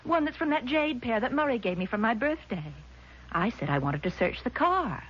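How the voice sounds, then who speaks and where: A woman's voice: fairly high pitch, very animated, normal speed, husky. An actress playing an agitated, confused woman in a 1970s radio drama, emphatic and indignant but faltering when doubted. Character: agitated, confused woman insisting on her memory. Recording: muffled vintage radio broadcast, faint noise.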